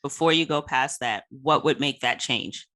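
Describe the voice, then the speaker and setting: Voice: medium pitch, normal speed, pushed and bright. A podcast host in a casual interview, giving short attentive responses and asking curious follow-up questions.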